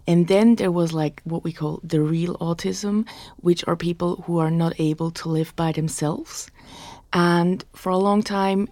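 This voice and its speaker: A woman in her thirties with a Swiss German accent, hosting her own podcast in an earnest, frank monologue, often adding "like" between quick bursts. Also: fairly low pitch, darkish tone, no room sound. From Switzerland. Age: 30s. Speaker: podcast host.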